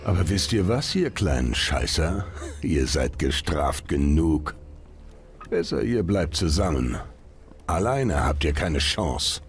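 A man's voice: rumbling low, big pitch swings, slow, faint music behind, full character voice. A man playing a mocking, foul-mouthed gang boss in a game, with a condescending, sardonic drawl. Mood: amused menace.

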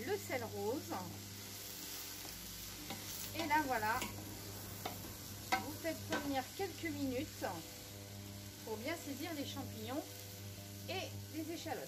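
A middle-aged woman hosting a casual cooking demonstration in a small shop, chatty, filling every pause with "so" and "of course". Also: high-pitched, lively intonation, very noisy. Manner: chatty, keeps saying "donc" and "bien sûr". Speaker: cooking show host.

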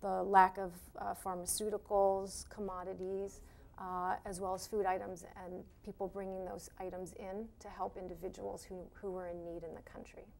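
A middle-aged woman, an art instructor, answering interview questions in a measured, hesitant way on a TV studio set. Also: medium pitch, flat delivery, gravelly, a little mellow, a little hiss or hum.

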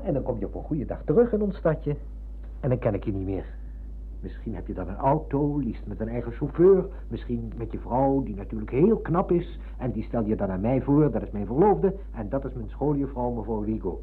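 A man playing a nostalgic, earnest middle-aged visitor to his old hometown in a radio drama, polite and husky. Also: mid-range voice, very animated, normal speed. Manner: earnest, polite, dwelling on words. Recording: narrow phone-like old radio recording.

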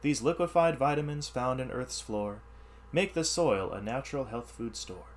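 A man teaching through an expressive read-aloud of rhyming science verse, with explanatory asides in an instructional manner. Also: mid-range voice, normal speed. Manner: animated, instructional.

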